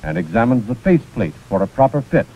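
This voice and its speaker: A man's voice: medium pitch, big pitch swings, fast talker, dark tone. An American man narrating a scripted 1940s instructional film in a precise, formal manner. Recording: muffled, scratchy 1940s film soundtrack.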